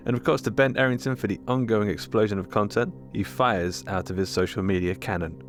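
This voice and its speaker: A male announcer reads scripted closing credits for a podcast. His voice is on the low side. Music plays clearly behind him. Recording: music under the voice.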